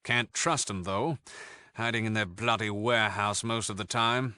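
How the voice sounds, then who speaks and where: An actor playing a suave, sardonic British leader of a thieves' guild in a video game, with a flippant, haughty and theatrical way of talking. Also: male voice, on the low side, moderate pace, pushed and bright, clear, resonant tone, no room sound. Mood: gossipy, disdainful. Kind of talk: video game character dialogue.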